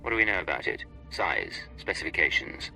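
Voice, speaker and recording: Very deep, gravelly male secret agent. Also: expressive, brisk, bright, forceful tone, faint music behind, full character voice, rough recording. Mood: cold, bitter. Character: cool, bitter male secret agent in a space opera. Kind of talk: cinematic video game acting.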